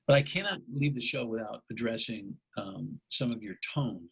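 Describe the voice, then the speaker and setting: Gravelly, husky-voiced male talk host. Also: medium pitch, moderate pace, fairly bright tone, low-quality recording. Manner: blunt, pushes the guest with challenges; restarts and stumbles over words. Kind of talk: radio talk show interview.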